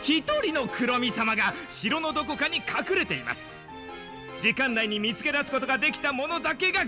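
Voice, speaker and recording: An actor voicing an excitable exam official in a theatrical, announcing manner for a voice drama. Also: male voice, very high voice. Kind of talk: animated voice drama acting. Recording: long hall reverb with music behind.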